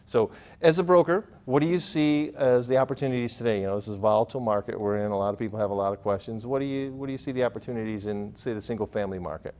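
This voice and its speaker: A man's voice: mid-range voice, fairly even intonation, racing, hardly any pauses, a little mellow. A middle-aged American man in his fifties, interviewing a guest conversationally as host of a business show. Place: TV studio.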